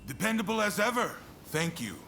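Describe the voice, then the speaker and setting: A man acting an affable, shrewd statesman in a video game cutscene, expressive and earnest but conversational. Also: sits high, a little fast, gravelly, slight background noise, full character voice. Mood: friendly, appreciative. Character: affable shogunate statesman, shrewd negotiator, warm toward his ally. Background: music.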